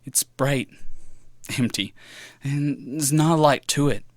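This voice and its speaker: A young man playing a pensive student in an audio drama, talking softly and hesitantly to himself in a reflective monologue. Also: mid-range voice, takes it slowly, dry recording, studio quality, natural voice. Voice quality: soft-spoken, reflective, hesitant. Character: brooding young male student, pattern-seeking loner. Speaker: student character. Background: music.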